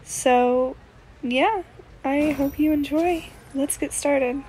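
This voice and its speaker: A woman's voice: sits high, slow pace, a little mellow, low-quality recording. A woman giving casual commentary as host of a video game playthrough. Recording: faint background noise, re-recorded gameplay audio.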